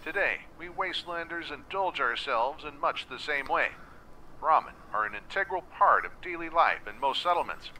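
A man plays a folksy post-apocalyptic storyteller for a game, with a very bright, sharp, fairly high voice. The narration is scripted and measured, like an encyclopedia of wasteland lore. Faint noise runs behind it. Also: lively intonation, poor audio. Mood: measured storytelling.

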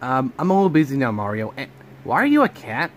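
A man's voice: medium pitch, sing-song, fast talker, voice pushed down, natural voice. A man voicing a smart man in his forties, a bit flustered, who stumbles over numbers and dates, in a plush toy home video. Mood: annoyed. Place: home room with couch.

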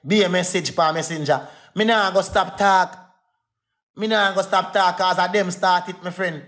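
A man's voice: sits high, steady tone, fairly bright tone. A man with a Jamaican Patois accent ranting angrily on a phone livestream, repeating insults over and over. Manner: repetitive, insulting, fast heated rant. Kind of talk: angry livestream rant.

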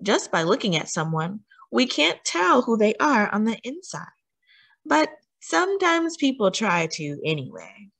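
Woman reading aloud, lively swooping pitch. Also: vocal fry. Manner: deliberate, teacherly emphasis with questions to young listeners. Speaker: children's story time host reading a picture book aloud. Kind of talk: read-aloud storytelling for children, with asides and questions.

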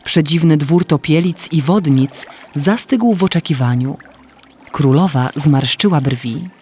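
A man's voice: fairly high pitch, unhurried, darkish tone, a little hiss or hum. A man narrating a scripted fairy tale for children as a storyteller.

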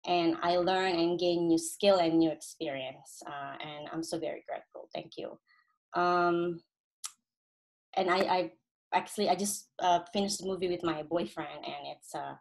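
A woman's voice: on the low side, rough recording. A woman in her thirties with an Indonesian accent, speaking informally and hesitantly on a video call. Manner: hesitant, halting phrasing. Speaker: guest from a community group. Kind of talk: informal speech of thanks. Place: bedroom, indoors. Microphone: computer on a video call.

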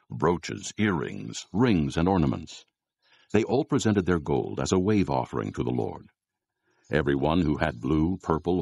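Extremely deep, gravelly male narrator. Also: darkish tone, dry recording. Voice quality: gravelly, husky. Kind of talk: scripted dramatized Bible narration.

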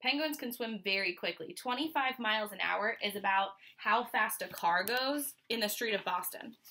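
A woman in her twenties with an American accent reads a children's picture book aloud like a teacher, pausing to explain and ask questions. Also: mid-range voice, bright, forceful tone, rough recording. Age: 20s. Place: small furnished room at home, indoors.

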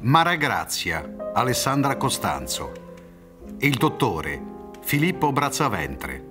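An older man reads radio drama credits formally and very slowly. His pitch moves in a lively way from phrase to phrase, and plucked guitar music plays clearly behind him. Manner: measured, formal. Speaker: announcer of the cast.

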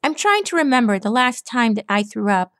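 A woman playing a cocky young female pegasus from a storybook reading, pitched well above the narrator's own voice and teasing in loose slang. The sound is dry and close, with no room around it. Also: lively intonation, brisk, fairly bright tone, dry recording. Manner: teasing, casual slangy talk.

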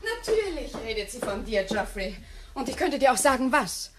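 An actress in a radio play playing a bitter, defiant young stepdaughter. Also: female voice, a little high, sing-song, moderate pace, crowd noise. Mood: exasperated. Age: young adult.

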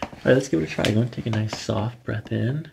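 A middle-aged man speaking as a chiropractor, calmly and gently guiding a patient step by step in a reassuring, gravelly way. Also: mid-range voice, a little fast, a little hiss or hum. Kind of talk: calm step-by-step instruction to a patient during treatment. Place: small office treatment room, indoors. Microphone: microphone on boom arm near the table.

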